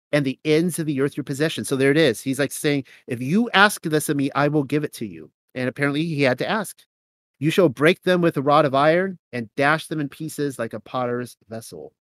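A man with a fairly high voice reads old scripture verses steadily and then drifts into loose, casual commentary full of fillers. He has a cold, so his voice comes out a little nasal. The recording is close and dry, with no room sound. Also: no room sound. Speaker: host of a scripture reading show.

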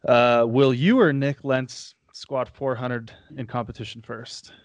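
A man's voice: mid-range voice, fairly even intonation, measured pace, no room sound. A man hosting a podcast in casual chat, with an informal, joking way of talking. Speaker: podcast host.

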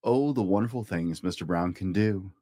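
A man reading a rhyming children's picture book aloud in a playful, expressive way, as a storytime host. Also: low voice, normal speed, dry recording, clean studio sound. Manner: playful, acts out animal and object sound effects.

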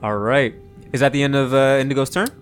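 A man's voice: medium pitch, steady tone, quick pace, soft background music. A man working as game master, narrating a tabletop game casually and playfully.

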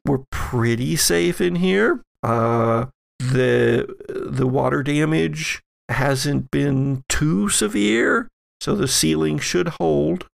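A man's voice: fairly high pitch, slow pace, creaky, heavily acted. A man playing an evasive, rambling theater worker in a tabletop game, speaking hesitantly.

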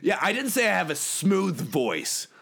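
A man puts on a swaggering, bullying know-it-all who talks down to everyone, with a gravelly, sharp edge to his voice. He sounds a little high and pushy. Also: wildly swooping pitch, a little slow, full character voice. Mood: boastful. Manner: pompous, bullying, condescending. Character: arrogant male loudmouth who thinks he's the best. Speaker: recurring comedic guest. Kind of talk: improvised comedy bit.

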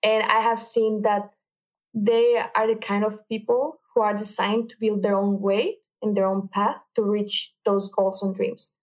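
A woman's voice: mid-range voice, little pitch movement, measured pace, rough recording. Mexican woman speaking earnestly. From Mexico City, Mexico. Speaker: MBA student guest. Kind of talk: interview answers.

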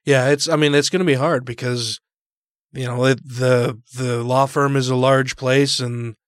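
A man co-hosting a podcast in a casual political chat, hoarse and congested with his voice nearly gone, measured and agreeable. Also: mid-range voice, level tone, no echo, studio quality. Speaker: podcast co-host.

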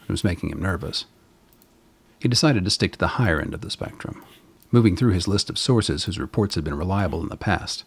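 A man narrating a scripted radio drama in a measured, literary manner, with a gravelly, husky voice. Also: sits low, no echo.

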